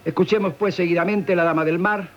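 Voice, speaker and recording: A dull seventies radio recording of a Castilian Spanish man. He presents in a literary, declamatory way, reading from a script in a somewhat high voice. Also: steady tone, fairly quick. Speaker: radio presenter introducing a play.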